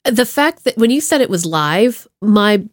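A woman hosting a podcast in a casual chat, with playful and expressive reactions. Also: medium pitch, unhurried, no room sound, studio quality. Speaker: podcast host.

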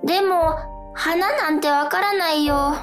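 Scared kid flower bud, high plaintive voice, radio drama w/ music. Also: female voice, measured pace. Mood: frightened, plaintive. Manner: childlike, plaintive. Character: frightened, questioning young bud told to become a flower. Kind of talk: whimsical radio drama acting.

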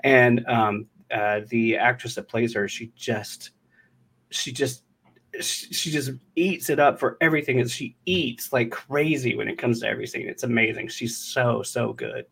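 Mid-pitched man explaining in casual conversation. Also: moderate pace, gravelly, fairly bright tone, husky. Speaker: podcast guest, audio drama writer and director. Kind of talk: casual interview chat.

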